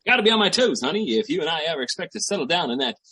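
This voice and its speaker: Man playing raspy, animated small-time hustler, radio comedy. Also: on the high side, racing, exaggerated voice. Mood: boastful, excited. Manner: slangy, bragging, theatrical hustler patter. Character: fast-talking, boastful small-time schemer boyfriend, eternally scheming big deals. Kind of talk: 1940s radio sitcom acting.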